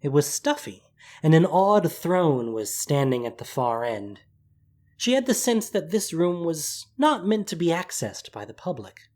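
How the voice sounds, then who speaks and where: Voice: mid-range voice, big pitch swings, a little slow, darkish tone. A narrator reading scripted prose for an audio drama. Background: music.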